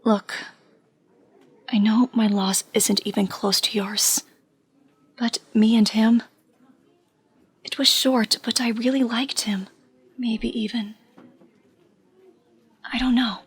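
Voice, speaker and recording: Woman acting husky, earnest young female superhero. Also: a little high, steady tone, takes it slowly, pauses often, heavily acted. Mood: pleading, sad. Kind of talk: audio drama acting.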